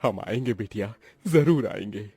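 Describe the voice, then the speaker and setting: A man's voice: lowish voice, expressive, a little slow, warm tone, slightly rough, no room sound, faint music behind, heavily acted. A man voicing a poor, proud and pious older uncle in a sentimental cartoon drama, speaking earnestly. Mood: tender, moved. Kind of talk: melodramatic cartoon voice acting.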